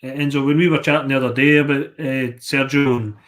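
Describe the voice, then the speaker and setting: A man's voice: mid-range voice, fairly even intonation, quick pace, a crowd in the background. A Scottish man chatting casually as co-host of a chat show.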